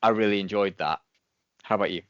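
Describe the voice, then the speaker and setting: Deeper-voiced guy reviewing stuff on a podcast, rambly casual talk, dry room, slightly dull audio. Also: fast, no echo. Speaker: podcast co-host.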